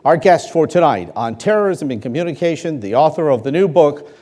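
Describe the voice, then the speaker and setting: A man's voice: a little high, expressive, a little fast, warm tone. A man hosting a live interview before an audience, introducing his guest and steering the questions in a formal way. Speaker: talk show host. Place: large hall with live audience. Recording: long hall reverb.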